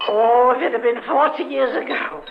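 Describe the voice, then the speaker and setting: An actress playing a poor, humble elderly flower seller, in an old radio drama. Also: female voice, sits high, moderate pace, gravelly. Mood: tipsy, wistful. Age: older. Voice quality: cracked. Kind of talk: 1950s radio drama acting. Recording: muffled old radio, roomy echo, crowd behind.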